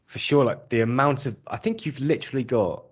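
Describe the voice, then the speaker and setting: A man from England hosting an educational podcast in a casual interview style. He sounds upbeat and encouraging, with a voice of medium pitch. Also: a little fast, slightly rough. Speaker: podcast host.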